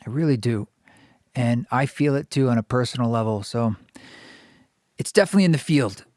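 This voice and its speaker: A man's voice: mid-range voice, darkish tone, no room sound. Guy hosting a podcast, thoughtful and searchy, lots of ums, dry close mic. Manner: thoughtful, searching, many fillers and pauses. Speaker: podcast host. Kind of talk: reflective podcast monologue and interview.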